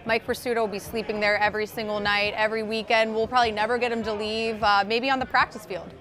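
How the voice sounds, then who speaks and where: A woman's voice: on the high side, flat delivery, quick pace, a bit bright. A woman in her forties hosting a casual sideline chat outdoors at a practice field, upbeat and steering the talk with questions. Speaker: host.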